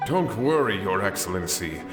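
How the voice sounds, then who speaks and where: A man's voice: low voice, very animated, moderate pace. A man playing a fervent, loyal samurai in a video game, declaiming formally and reverently with a ghostly echo. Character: devoted, fervent male samurai loyal to his ruler. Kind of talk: scripted video game voice acting. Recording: echoing voice over prominent music.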